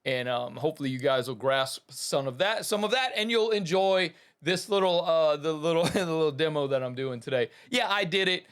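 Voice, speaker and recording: A man's voice: fairly high pitch, animated, normal speed, hardly any pauses, fairly bright tone, smooth. A man hosting a podcast about the business of running a studio, talking casually and enthusiastically, rambling with restarts and calling things awesome. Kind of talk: casual talk to listeners.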